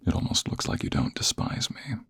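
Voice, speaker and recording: A man playing a smug, seductive office rival in an intimate ASMR roleplay, with a husky, breathy half-whisper and slow, teasing, flirtatious delivery. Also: a bit bright, close to an everyday voice. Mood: teasing, amused.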